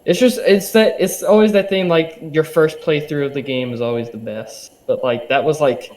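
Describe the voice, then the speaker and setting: A man's voice: fairly high pitch, steady tone, normal speed, darkish tone, low-quality recording. A young man, a podcast guest, chatting casually in a hesitant way with lots of fillers and eager restarts. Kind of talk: casual interview chat.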